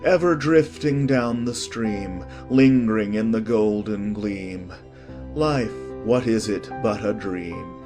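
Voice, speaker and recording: A man's voice: on the low side, slow, over music. A man reading a classic storybook as an audiobook narrator, in a scripted storytelling style.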